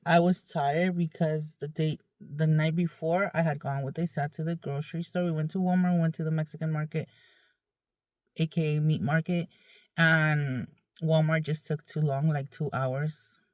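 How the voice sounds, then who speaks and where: A woman's voice: low voice, a little slow, darkish tone, dry recording, studio quality. A woman vlogger talking casually to her viewers in a candid, rambling, conversational way.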